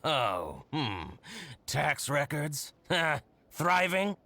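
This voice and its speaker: Theatrical, scheming, excitable shopkeeper. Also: male voice, on the high side, drawn-out words, a little hiss or hum, heavily acted. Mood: indignant. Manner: theatrical, fawning then indignant. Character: scheming, excitable male shopkeeper bitter about a business rival. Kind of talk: video game voice acting.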